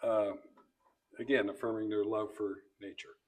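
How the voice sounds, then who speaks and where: A man introducing guests in a banquet speech, delivering it phrase by phrase in a halting way. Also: on the low side, level tone, darkish tone, slightly rough. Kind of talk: banquet introduction speech, read from notes with asides. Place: banquet event room.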